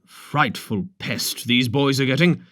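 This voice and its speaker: A man reading aloud, voicing a brisk, bossy village doctor who talks in a loud, brusque, blustering way. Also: medium pitch, no echo, clean studio sound.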